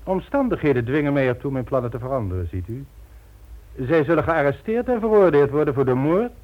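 An actor playing a megalomaniac, smugly polite criminal mastermind in a radio drama, making grandiose, condescending speeches. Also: male voice, mid-range voice, big pitch swings, a little fast, natural voice. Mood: coolly menacing. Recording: dull lo-fi old radio recording.

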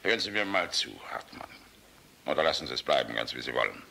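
A man's voice: a bass voice, lively intonation, fast, creaky, a little hiss or hum, full character voice. An actor in a German radio play voicing an old, world-weary, passionate general, blustering and fatherly in a rhetorical manner. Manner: blustering, rhetorical, swinging from outbursts to hushed musing. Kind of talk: German radio drama acting.

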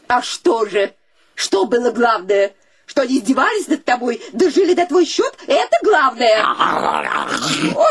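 A woman's voice: fairly high pitch, very animated, measured pace, breathy edge, full character voice. An actress playing a domineering, scornful, possessive woman who nags theatrically in a radio play. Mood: indignant. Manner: indignant, nagging, theatrical. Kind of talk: theatrical radio drama acting.

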